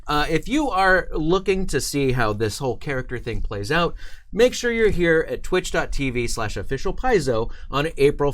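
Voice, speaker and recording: A man's voice: fairly high pitch, a bit bright. A man hosting a casual livestream chat in an upbeat, enthusiastic way. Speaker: livestream host.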